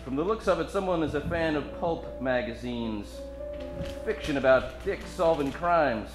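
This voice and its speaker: A man's voice: fairly high pitch, moderate pace, roomy sound, music in the background, lightly acted, low-quality recording. A man playing a wisecracking, hard-drinking private detective with joking hard-boiled patter in a live comedic radio play. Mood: dry, mocking.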